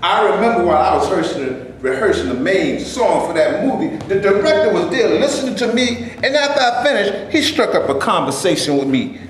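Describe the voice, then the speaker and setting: Echoey, animated male stage character acted by a man. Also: fairly high pitch, lightly acted. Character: frustrated, preachy but cautious male actor, union man. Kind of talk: staged play reading. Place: echoey room before a live audience. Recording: echoey room with noise, live audience recording.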